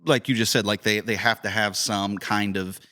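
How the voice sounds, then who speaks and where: A man with a somewhat low voice co-hosts a podcast as its director. He speaks informally, in the manner of a casual chat among colleagues. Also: fairly even intonation, moderate pace, pushed and bright. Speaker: director and co-host.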